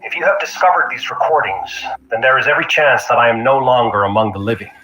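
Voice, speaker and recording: An actor playing a grave, driven magician who investigates the supernatural, in an audio drama. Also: male voice, mid-range voice, fast, noisy background, close to an everyday voice. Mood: grave, determined.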